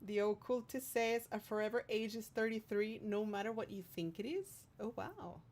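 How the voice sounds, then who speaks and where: A woman's voice: mid-range voice, moderate pace, darkish tone. A woman hosting a livestream, reading out viewer comments and chatting casually in a playful, affectionate way. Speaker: streamer hosting a livestream, singer-songwriter.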